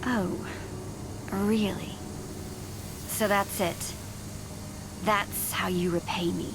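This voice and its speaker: An actress playing a seductive, scornful villainess in a game, with a sultry, theatrical drawl. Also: female voice, lowish voice, vocal fry, noisy background, exaggerated voice.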